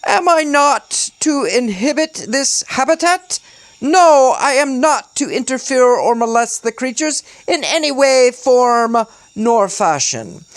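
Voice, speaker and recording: A woman's voice: high voice, lively intonation, takes it slowly, no echo, pitched above the performer's own voice. A woman voicing a pompous male island castaway who rambles theatrically in an over-the-top British accent.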